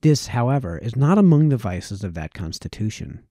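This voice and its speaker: Male audiobook reader for formal historical prose: very soft-edged dark tone, lively intonation, deliberate phrasing. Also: mid-range voice, no echo. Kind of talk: reading an old political text aloud.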